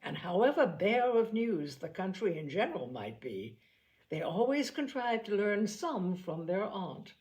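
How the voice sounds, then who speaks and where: Female audiobook narrator, expressive literary storytelling, medium pitch with very animated range. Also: a little slow.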